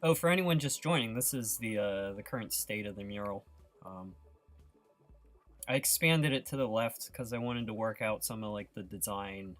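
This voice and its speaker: A man's voice: on the low side, expressive, normal speed, fairly bright tone, faint music behind. A man hosting an art livestream, chatting casually and ramblingly with viewers and prone to giggling. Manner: giggly, breaks into laughter often. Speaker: livestreamer, artist hosting a live painting stream. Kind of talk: casual chat to live viewers.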